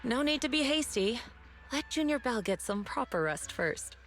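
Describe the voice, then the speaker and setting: A commanding temple master in an anime game, composed and quietly threatening. Her fairly high voice is measured and authoritative, with music clearly behind. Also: very animated. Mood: calm. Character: composed, commanding female martial-arts master, quietly menacing. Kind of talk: anime-style game voice acting.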